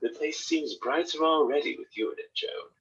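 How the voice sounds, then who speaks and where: A man playing a flirtatious gentleman captain in a radio drama, courtly and smooth-talking, on a dull, distant microphone. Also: a little high, normal speed, lightly acted.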